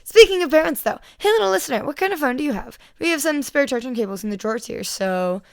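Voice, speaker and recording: A woman voicing a loud, playful male hero with an exuberant, exclaiming delivery, in an audio fan story. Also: high voice, animated, gravelly, no room sound, studio quality, voice pushed up. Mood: eager. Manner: exuberant, exclaiming, drawn-out words.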